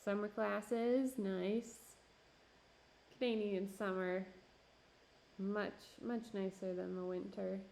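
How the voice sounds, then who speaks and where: A woman's voice: mid-range voice, fairly even intonation, takes it slowly, gravelly, pauses often, darkish tone, slight background noise. A young woman pottery streamer chatting casually with her chat while she works, with lots of fillers and laughs, and her voice starting to go. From Minneapolis–Saint Paul area. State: losing her voice. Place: home pottery studio.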